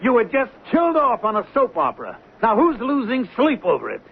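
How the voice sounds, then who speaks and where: Exasperated husband, high, swooping voice. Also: male voice, huge pitch swings, a little fast, vocal fry, slightly rough, heavily acted. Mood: scolding. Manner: emphatic, theatrical, pleading. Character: blunt, exasperated actor husband of a fading star. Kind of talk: 1970s radio drama acting. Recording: dull, hissy old radio recording.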